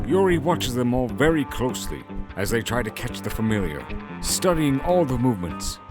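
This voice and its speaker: A man's voice: mid-range voice, very animated, moderate pace. A man narrating a scripted audio fantasy story in a dramatic storytelling style. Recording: music bed under the voice.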